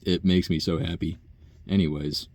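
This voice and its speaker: A young man talking through a rambling, casual personal monologue, profane and full of fillers and sighs, with a low buzzy voice. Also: steady tone, moderate pace, a little mellow, no room sound. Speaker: vlogger.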